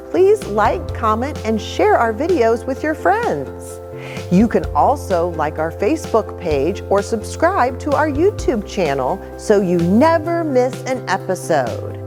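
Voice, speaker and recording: A woman's voice: fairly high pitch, sing-song, measured pace, music in the background. An American woman preacher explaining things simply to children as a story-time host.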